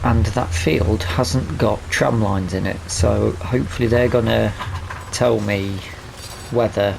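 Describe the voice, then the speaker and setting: A man's voice: on the low side, fairly even intonation, takes it slowly. A man hosting a gaming video with casual let's-play commentary, rambling and thinking aloud as he plays. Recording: clear background game vehicle noise.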